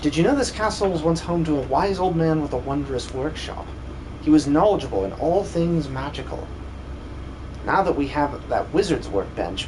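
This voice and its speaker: A man's voice: on the high side, background noise, natural voice. A man voicing a courteous, formal scholar keen on magical research in a video game fan dub.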